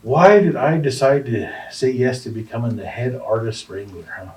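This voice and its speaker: A man's voice: mid-range voice, normal speed, background noise. A man, an artist, answering panel questions thoughtfully, with restarts and hedges. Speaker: artist and exhibition organizer. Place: art center gallery room. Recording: distant mic in a room.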